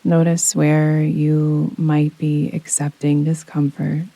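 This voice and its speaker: A woman gently guiding a yoga nidra relaxation meditation in a soothing, unhurried manner with long pauses. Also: deep voice, completely flat delivery, gravelly, no room sound. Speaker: meditation guide. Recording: close dry voice over rain sounds. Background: soft rain sounds.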